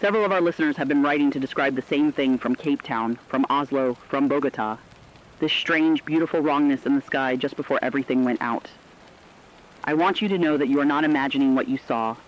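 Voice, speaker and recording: A man's voice: medium pitch, fairly quick, a little hiss or hum, natural voice. A man playing the earnest, solemn host of a last surviving radio station, telling the story as scripted dramatic broadcast narration. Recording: slightly dull lo-fi radio sound.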